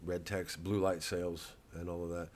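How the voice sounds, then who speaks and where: Rambling, stammering man, low voice. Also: level tone, normal speed, slight background noise. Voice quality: rambling, stammering restarts, swears freely. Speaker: comedian. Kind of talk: casual talk to camera.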